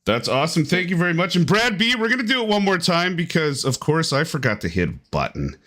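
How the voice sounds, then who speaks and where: Lively man hosting game commentary. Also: on the high side, quick pace. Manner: upbeat, lively play-by-play. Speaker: tournament host and commentator.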